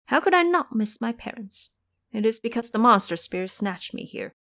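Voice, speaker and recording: A woman's voice: fairly high pitch, very animated, normal speed, a bit bright, voice pushed up. A woman voicing a proud, sorrowful captive princess in an audio drama, speaking in an earnest, formal and dramatic way.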